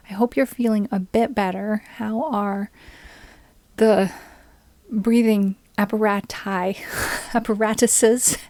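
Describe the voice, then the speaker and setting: Woman streamer, unhurried and easygoing, soft mellow tone, dry close mic. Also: mid-range voice, no room sound. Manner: slow, relaxed and friendly, greets viewers by name, with small laughs. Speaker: streamer and ukulele player. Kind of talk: casual chat to a live stream audience. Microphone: USB microphone on a boom arm with a pop filter.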